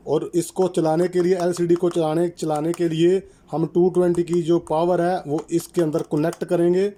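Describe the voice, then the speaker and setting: Higher-pitched man giving step-by-step instructions. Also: dark tone. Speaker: electrician presenting a how-to channel. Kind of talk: step-by-step product installation tutorial.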